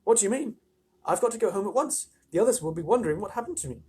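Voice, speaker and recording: A storyteller voicing a kind, earnest young girl who talks in a high, urgent, pleading way. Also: lively intonation, brisk, mellow, slightly rough. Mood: alarmed.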